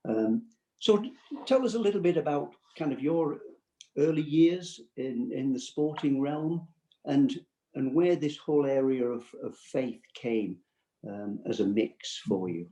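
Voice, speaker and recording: Man, interview host, hesitant, filler-heavy, mellow voice, echoey room. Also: medium pitch, lively intonation, a little slow. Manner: hesitant, many fillers, friendly. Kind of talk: interview hosting.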